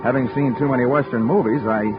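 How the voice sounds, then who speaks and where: A man's voice: mid-range voice, steady tone, fast, natural voice. An actor playing a dry, wisecracking insurance investigator who narrates his own case, in 1940s radio acting style. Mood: wry narration. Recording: muffled old radio recording with music.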